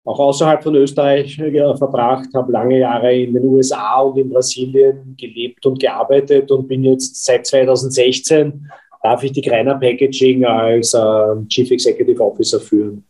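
A man's voice: medium pitch, dark tone, low-quality recording. A man in his fifties with an Austrian German accent, a company executive answering interview questions thoughtfully, with frequent hesitations. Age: 50s. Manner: thoughtful, many hesitations and fillers.

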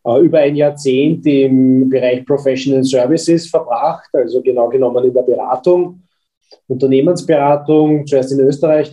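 A man in his fifties with an Austrian German accent speaks as an executive guest. His warm, darker voice sits at an ordinary pitch, and he talks thoughtfully, pausing often with fillers. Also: rough recording. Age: 50s. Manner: thoughtful, many hesitations and fillers. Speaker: company executive. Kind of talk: interview answers.

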